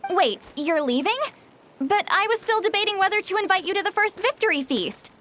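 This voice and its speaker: High, animated cocky young warrior. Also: female voice, fairly quick, background noise. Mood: smug, casual. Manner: brash, boastful. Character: cocky, boisterous young female warrior of the Night Wind. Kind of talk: English video game voice acting.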